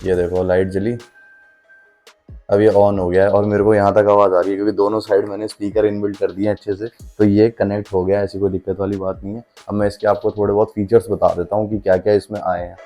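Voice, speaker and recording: Male vlogger, low and level delivery, chatty hands-on explainer tone, light music underneath. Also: little pitch movement, fast, mellow. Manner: informal, chatty, addresses viewers as brother. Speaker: motorcycle vlogger and product reviewer. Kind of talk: casual hands-on product demonstration.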